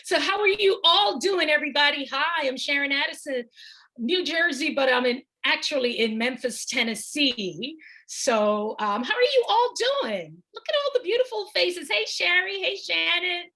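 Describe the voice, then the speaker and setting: A woman in her fifties with a Jamaican accent, giving a chatty, animated conference talk and greeting the audience. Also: high voice, normal speed. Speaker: conference speaker.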